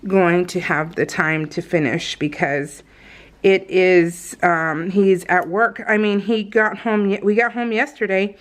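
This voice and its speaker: A woman's voice: on the low side, fairly even intonation, a little slow. Chatty woman rambling casually. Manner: chatty, giggly, meandering. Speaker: craft vlogger. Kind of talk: casual rambling chat over scrapbook pages.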